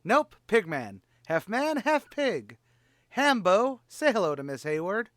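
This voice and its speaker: A man playing a boastful, stammering tavern manager in a 1940s-style radio comedy, bluffing with rough grammar. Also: high-pitched, big pitch swings, a little slow, fairly bright tone, no echo, clean studio sound, full character voice. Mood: showing off.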